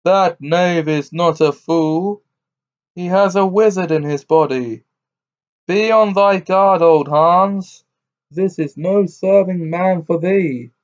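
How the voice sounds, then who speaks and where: A man voicing a huge, dim-witted, blustering giant who talks in a slow, booming, old-fashioned way. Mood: uneasy. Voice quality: booming, thundering. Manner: slow, drawn-out archaic speech. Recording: dull lo-fi recording.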